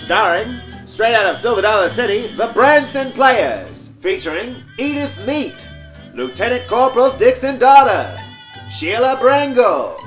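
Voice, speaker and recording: A man puts on a showy old-time radio announcer. His voice is high and sweeps theatrically up and down as he presents a scripted play over music. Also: fairly bright tone, full character voice, low-quality recording.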